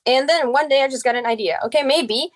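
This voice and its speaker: A woman's voice: sits high, fast. A girl in her early teens, a native Czech speaker with a Czech accent, telling her story casually as a podcast guest. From Czech Republic.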